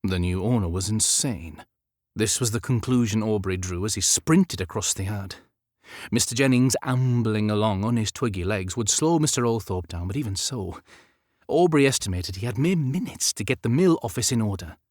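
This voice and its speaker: A man's voice: lowish voice, expressive, normal speed, darkish tone, no echo, clean studio sound. A man narrating a period romance audiobook as scripted fiction.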